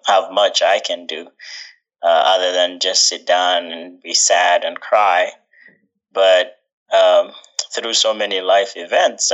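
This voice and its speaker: A young man from Uganda, a guest in an interview, answering thoughtfully with many pauses and fillers. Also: low voice, a bit bright, lo-fi sound. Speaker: guest, social entrepreneur.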